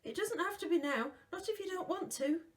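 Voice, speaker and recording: An earnest young boy raised among the dead, as a woman reading aloud puts him on, speaks quickly in a raised, eager voice. He pushes his words out in a rush, a storybook child set on having his way. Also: very high voice, lively intonation, voice pushed up.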